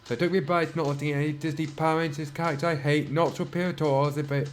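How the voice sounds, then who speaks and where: Guy w a sore throat reading fanfic and snarking at it, kinda high voice, keeps clearing his throat, quiet music behind. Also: level tone, rapid-fire. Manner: dry, mocking asides, frequent throat clearing. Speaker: channel host reading fan fiction. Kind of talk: dramatic reading with sarcastic commentary.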